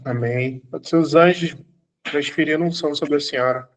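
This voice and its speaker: A man's voice: on the high side, steady tone, moderate pace. A man, an apostle, teaching an online church class, exhorting students and repeating his commands, at times laughing as he tells stories.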